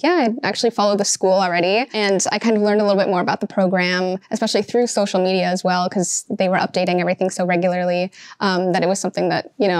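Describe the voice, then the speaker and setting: A woman's voice: medium pitch, dry recording. Chatty young woman, dry sound. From Seattle, Washington. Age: young adult. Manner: chatty, lots of um and you know. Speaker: fashion student. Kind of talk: interview answers to camera. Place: bright classroom with large windows.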